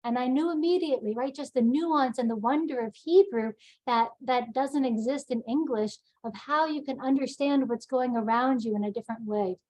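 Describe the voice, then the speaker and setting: A woman rabbi talks in a lively, chatty way over a video call. Her voice sits a little high. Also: moderate pace, hardly any pauses, rough recording. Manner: lively, chatty, sings snatches of song. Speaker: synagogue rabbi. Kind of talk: webinar personal remarks.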